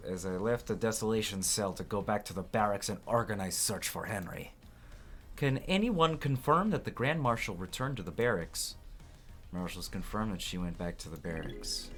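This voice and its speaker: Low, raspy young man. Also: a bit bright. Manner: casual, halting, many ums. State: hoarse from illness. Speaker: let's play host. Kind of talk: game playthrough commentary, reading game text aloud.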